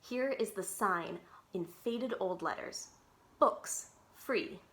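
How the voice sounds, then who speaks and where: A woman reading a children's book aloud in an expressive, animated storytelling manner, with clear enunciation. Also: medium pitch, slow pace.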